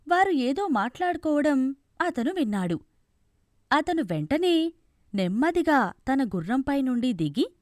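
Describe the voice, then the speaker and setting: A woman's voice: sits high, darkish tone, no echo, clean studio sound. A woman narrating a scripted fairy tale as a storyteller.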